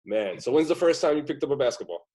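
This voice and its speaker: A man's voice: on the high side, expressive, quick pace, warm tone, slightly rough. A man in his twenties hosting a podcast from home over a webcam call, casual and friendly with short questions. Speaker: podcast host. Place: room at home, indoors. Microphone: webcam video call.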